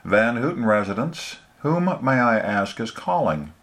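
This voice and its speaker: A man voicing a stiffly formal male household servant who speaks slowly and courteously. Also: mid-range voice, sing-song, a bit bright.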